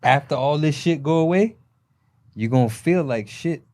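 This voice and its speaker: A man's voice: a little high, normal speed, a little mellow. A man co-hosting a podcast in casual group chat, laid-back, telling stories and giving advice. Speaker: podcast co-host.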